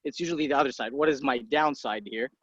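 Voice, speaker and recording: A man in his thirties from Egypt, a podcast guest talking casually and bluntly, swearing freely and tossing off quick asides. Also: mid-range voice, fairly bright tone, dry recording. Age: 30s. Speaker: guest, entrepreneur. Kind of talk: casual interview talk. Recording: dry muffled podcast audio.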